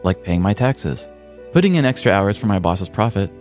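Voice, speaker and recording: Low-voiced American man telling comic stories. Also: big pitch swings, normal speed, a little mellow, soft background music. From United States. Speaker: podcast host. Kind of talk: scripted comedic story narration.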